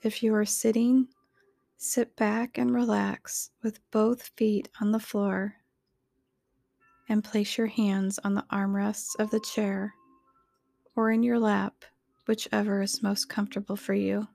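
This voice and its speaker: A woman narrates a guided meditation in a soft, soothing voice. She speaks very slowly and evenly, with little movement in pitch. Her delivery is measured and instructional, recorded close and dry. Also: level tone, creaky, no room sound.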